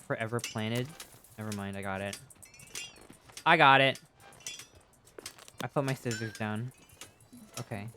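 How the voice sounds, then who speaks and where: A streamer in their thirties chatting casually over a video game, profane and slangy, with a self-deprecating, animated way of riffing. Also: mid-range voice, a little hiss or hum. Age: 30s. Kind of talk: casual livestream chat over gameplay, reading and answering viewer comments. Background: game music.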